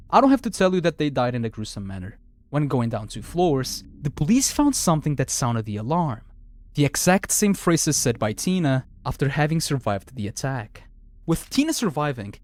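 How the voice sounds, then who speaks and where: A man's voice: a little high, a little mellow. A man narrating a scripted video review of a horror series as its host.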